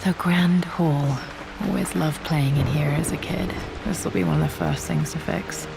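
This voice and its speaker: An actress playing a pensive young British heiress and explorer, musing aloud in a video game. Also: female voice, low voice, animated, normal speed, gravelly. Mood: wistful. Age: young adult. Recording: music behind the voice.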